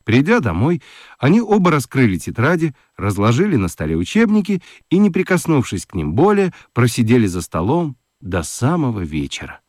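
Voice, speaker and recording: A man narrating a scripted children's story for a radio play, expressive and fond of dramatic pauses. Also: medium pitch, no room sound, studio quality.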